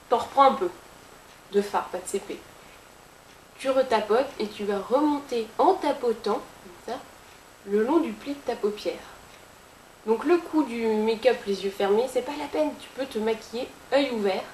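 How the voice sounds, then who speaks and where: Lady chatting thru a makeup tutorial, kinda high voice, roomy echo and faint hiss. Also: darkish tone. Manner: chatty and playful, with sound effects and jokes. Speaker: beauty vlogger. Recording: echoey room with faint hiss.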